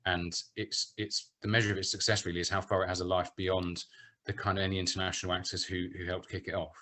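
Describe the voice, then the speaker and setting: A man speaking informally and haltingly as a panel speaker, explaining things casually at an online event. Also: deep voice, level tone, sharp tone. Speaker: panel speaker, theatre producer. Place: online video call.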